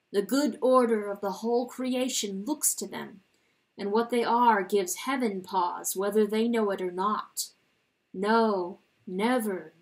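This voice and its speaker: A woman reading a literary fable as a dramatic narrator, with solemn, measured and deliberate phrasing. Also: mid-range voice, poor audio. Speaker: audiobook reader.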